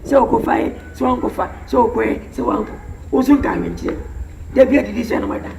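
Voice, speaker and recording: Seorang pria tua yang memerankan kakek yang memohon soal uang, berbicara cepat dan parau. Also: male voice, extremely high pitch, quick pace, vocal fry. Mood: scolding. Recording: roomy, distant, noisy.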